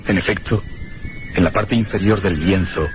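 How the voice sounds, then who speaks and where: An actor with a Castilian Spanish accent playing a troubled traveller who narrates an eerie radio drama in a measured, literary way. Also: male voice, deep voice, background noise, lightly acted. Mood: brooding, reflective. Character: troubled, brooding male traveller recounting an eerie tale. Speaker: narrator and protagonist. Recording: radio drama with music behind. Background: prominent music.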